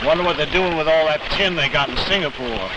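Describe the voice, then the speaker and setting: An actor as a higher-voiced wisecracking marine. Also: male voice, fast, lightly acted. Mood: wry, griping. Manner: wry, joking, colloquial. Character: wisecracking marine griping in the jungle. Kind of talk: 1940s military training film acting. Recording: very noisy old film soundtrack.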